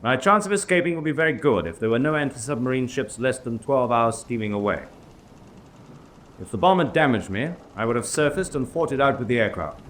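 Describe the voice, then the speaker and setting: An actor playing a proud, self-assured U-boat commander in a radio drama, speaking with clipped certainty. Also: male voice, mid-range voice, animated, fairly quick, fairly bright tone, a little hiss or hum, close to an everyday voice. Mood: haughty, assured. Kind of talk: radio drama courtroom acting.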